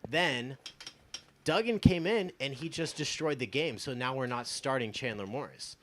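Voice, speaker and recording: A man's voice: medium pitch, normal speed, fairly bright tone, slight background noise. A man in his twenties with an American accent, chatting casually about sports as a show guest, emphatic, opinionated and loose with swearing. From United States, born in Texas and from Iowa.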